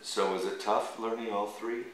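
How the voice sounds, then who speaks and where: A man's voice: fairly low pitch, steady tone, normal speed, distant mic. A man leading a friendly, casual interview, chatty and curious, often restarting his questions. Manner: chatty, repeats words at starts, curious follow-ups. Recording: echoey room, voice off-mic, chatter behind.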